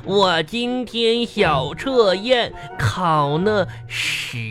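A silly little schoolchild in a radio comedy. The speech is slow, halting and childish, in a mid-range voice. Loud music plays underneath. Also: female voice, mid-range voice, animated. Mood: silly, hesitant.

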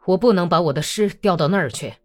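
A woman voicing a defiant male Cossack commander in an audiobook, terse and stubborn, in a low, muffled voice, with music behind. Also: fast, lightly acted. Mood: stubborn, weary. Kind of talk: audiobook character acting.

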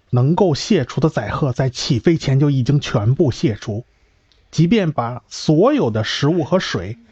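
A man's voice: mid-range voice, a little mellow, husky, no echo, highs cut off. A man playing a firm, precise space mission expert in an audio drama, explaining things exactly.

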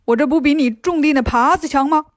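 A woman's voice: high-pitched, fairly quick, no room sound, studio quality, lightly acted. A woman voicing a boastful, self-pitying river monster in a children's storybook. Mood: mocking. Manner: swaggering, sighing theatrically.

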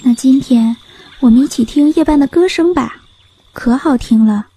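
High, soft naive little sock played by a woman. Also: normal speed, dry recording. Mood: gentle, hopeful. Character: naive, devoted young female sock. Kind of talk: fairy-tale radio drama dialogue. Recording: clear music behind voice.

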